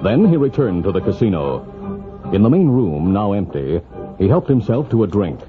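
A man as the formal, dramatic narrator of a 1940s radio western adventure, telling it with crisp urgency. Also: fairly low pitch, sing-song, moderate pace. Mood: measured. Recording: muffled old radio recording with music.

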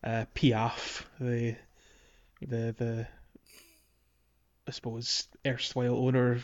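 A man's voice: medium pitch, monotone, takes it slowly, muffled recording. A man hosting a casual gaming podcast, talking in a meandering way with lots of fillers and restarts. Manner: meandering, many fillers and restarts, laughs often. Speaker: podcast host.

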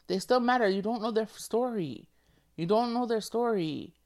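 A woman's voice: a little high, normal speed, a little mellow. A woman touched by speech hosts a podcast and talks in an earnest, emotional way, often repeating phrases to press a point. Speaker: podcast host. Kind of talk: casual heartfelt monologue.

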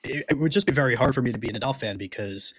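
A man's voice: mid-range voice, rapid-fire, slightly rough, no echo. A man co-hosting a podcast, discussing sports casually in a blunt, opinionated way. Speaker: podcast co-host.

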